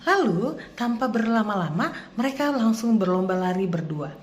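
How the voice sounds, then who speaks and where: A woman's voice: medium pitch, very animated, normal speed, a little hiss or hum. A young woman, a student storyteller, narrating expressively for young children.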